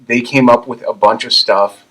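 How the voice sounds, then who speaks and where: A man co-hosting a podcast, retelling anecdotes casually in a halting way. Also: mid-range voice, fairly even intonation, a bit bright, poor audio. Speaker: podcast co-host.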